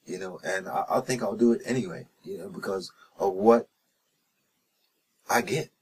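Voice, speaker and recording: Husky low-voiced man with careful, deliberate speech. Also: darkish tone. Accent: slight Jamaican-sounding lilt. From New Jersey, raised in North Carolina. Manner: careful enunciation, frequent "you know" and restarts. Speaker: reggae singer-songwriter, interview guest. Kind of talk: casual interview answers. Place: recording studio.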